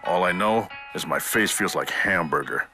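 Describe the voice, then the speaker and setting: A man plays a battered wrestler with a very low voice, narrating his own story in a video game. He sounds conversational and self-deprecating, wry yet determined, with music clearly playing behind him. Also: moderate pace, close to an everyday voice. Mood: rueful. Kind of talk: first-person game cutscene narration.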